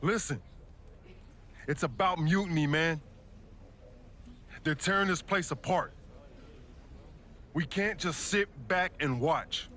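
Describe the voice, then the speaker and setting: An earnest American wrestler in a video game, talks in brotherly slang. His voice sits a little high and moves very slowly, with some noise behind it. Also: a bit bright. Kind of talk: acted video game cutscene dialogue.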